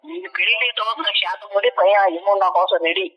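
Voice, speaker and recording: A man's voice: high-pitched, steady tone, quick pace. A man chatting casually over the phone in a blunt, scolding way. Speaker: prank call recipient, addressed as elder brother. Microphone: heard over a phone call. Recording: dull lo-fi phone call audio.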